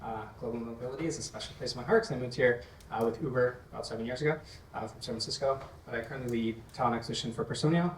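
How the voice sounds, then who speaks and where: A man introduces himself at a brisk, breezy clip on a conference panel. His voice sits in the middle range and comes from a distance with plenty of hall echo. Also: medium pitch, slight background noise. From Canada and United States. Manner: quick, breezy. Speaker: panelist, talent acquisition lead. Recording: echoey hall, distant mic.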